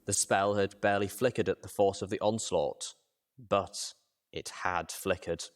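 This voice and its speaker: A man with a low voice narrates fiction in the first person. He reads from a script as an audiobook narrator, with a dramatic storytelling delivery. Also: steady tone, unhurried.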